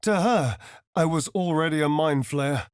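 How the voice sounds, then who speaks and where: An actor voicing a sardonic, foppish, flirtatious vampire spawn in a video game, with an arch, theatrical, languid drawl. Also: male voice, a little high, measured pace, smooth, no echo, clean studio sound, natural voice. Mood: grave, reflective. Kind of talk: video game character lines.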